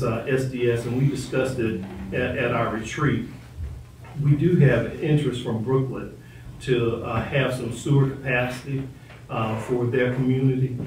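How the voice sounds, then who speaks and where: A man's voice: medium pitch, a little mellow. Echoey, distant man giving a diplomatic council presentation. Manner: diplomatic, measured. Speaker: city staff official presenting to council. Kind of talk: formal public meeting presentation. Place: indoor council chamber. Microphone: distant room microphone. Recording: echoey meeting room, distant mic, faint noise.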